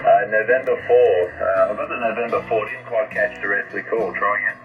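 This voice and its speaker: A man's voice: on the low side, fairly even intonation, fairly quick, faint music behind. An Australian man chatting casually as an amateur radio operator over a shortwave transmission, friendly and polite. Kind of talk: casual radio contact chat. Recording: muffled band-limited distant ham radio signal.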